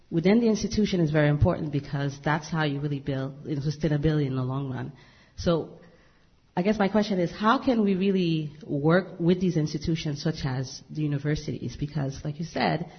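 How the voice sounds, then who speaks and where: Husky woman asking from the floor. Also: low-pitched, lo-fi sound. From Haiti. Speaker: audience member asking a question. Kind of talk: question from the floor.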